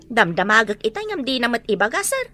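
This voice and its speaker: A woman's voice: fairly high pitch, sing-song, fairly quick. A woman playing a gossipy, complaining but deferential household helper in an audio drama, with a Filipino accent. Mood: flustered, deferential. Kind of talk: Ilocano audio drama acting.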